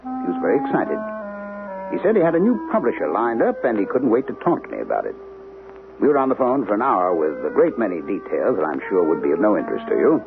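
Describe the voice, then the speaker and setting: A weary forty-something writer on old radio. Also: male voice, fairly low pitch, huge pitch swings, fast talker, music in the background. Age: 40s. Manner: wry, musing, drifting into gloom. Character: weary 42-year-old male writer, brooding. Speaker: narrator and lead. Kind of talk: 1970s radio drama acting. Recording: tinny lo-fi old radio broadcast with faint hiss.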